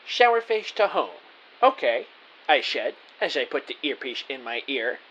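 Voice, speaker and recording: A man's voice: mid-range voice, big pitch swings, normal speed, a bit bright, lo-fi sound. A man playing an earnest, naive detective who narrates his own story in a scripted audio drama, with a theatrical delivery. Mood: excited.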